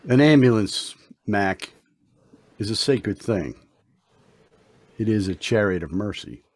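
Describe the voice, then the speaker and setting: A man playing a solemn, gentle, idealistic young intern doctor in old-time radio style, with formal, earnest phrasing. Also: lowish voice, animated, slow.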